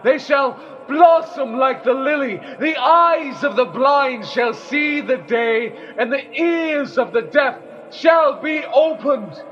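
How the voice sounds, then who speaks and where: A fervent holy prophet, acted by a man proclaims in a strikingly high voice. He speaks slowly and solemnly, in declamatory audio-play style. The sound is dull and muffled. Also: a bit bright, full character voice. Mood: solemn fervor. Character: fervent male prophet proclaiming a coming saviour. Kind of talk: declamatory audio drama acting.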